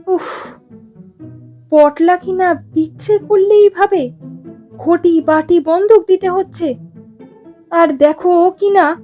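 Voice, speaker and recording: A woman's voice: very high voice, slow pace, pauses often, highs cut off, faint music behind, pitched above the performer's own voice. A woman voicing a stage-struck club boy who declaims theatrically in a story reading. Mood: melodramatic lament. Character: theatrical, melodramatic drama-loving club boy.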